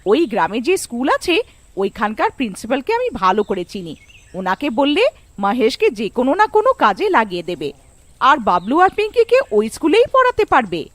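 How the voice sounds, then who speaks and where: A kindly older neighbour aunt, put on by a woman narrator, speaks in a high, animated voice. She is reassuring and gives careful advice, in cartoon storytelling style. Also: normal speed, no room sound. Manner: reassuring, instructive.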